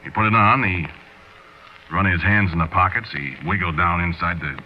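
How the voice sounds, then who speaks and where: A man's voice: low-pitched, moderate pace, a little hiss or hum, lightly acted. An actor playing an uneasy American hard-luck man of the streets, telling his story in world-weary slang, in 1940s radio style. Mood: grim, uneasy. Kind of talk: 1940s radio acting, first-person narration. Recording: dull 1940s radio recording with music.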